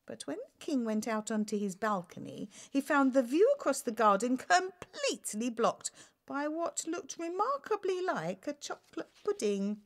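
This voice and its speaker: A woman reads a children's bedtime story. Her voice sits fairly high and moves up and down in a very lively way, with theatrical, expressive narration. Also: normal speed. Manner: animated, theatrical delivery. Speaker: storyteller reading a children's picture book.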